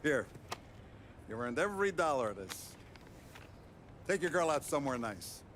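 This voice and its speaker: A man's voice: fairly high pitch, sing-song, moderate pace, a bit bright, a little hiss or hum. An actor playing a jovial male mob boss who chuckles and talks paternally.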